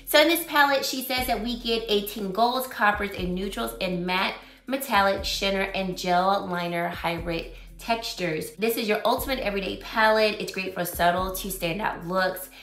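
A woman in her forties, slightly raspy from losing her voice, chatting upbeat and in detail as she reviews makeup in a small home room. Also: mid-range voice, normal speed, fairly bright tone. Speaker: beauty vlogger. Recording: roomy echo.

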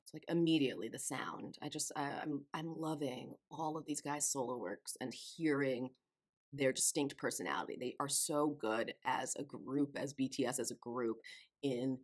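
A woman's voice: extremely low pitch, moderate pace. A woman in her thirties hosting a music reaction channel, musing casually and thinking aloud with small restarts, recorded in a small room. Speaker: K-pop reaction channel host. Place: small room with lit shelves of collectibles. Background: faint music video audio.

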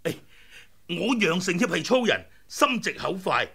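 A man's voice: high voice, very animated, measured pace, vocal fry, a bit bright, breathy edge, exaggerated voice. An actor playing a blunt, hot-tempered rebel brother who speaks his mind, in a radio drama. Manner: forthright, outspoken. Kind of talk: 1990s radio drama acting.

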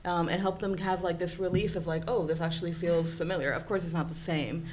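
Spanish-native woman on a panel, lowish voice. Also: steady tone, a little fast, a little mellow, a little hiss or hum, rough recording. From Puerto Rico. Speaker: panel speaker, psychology doctoral candidate. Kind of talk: convention panel talk. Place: hotel conference room with audience.

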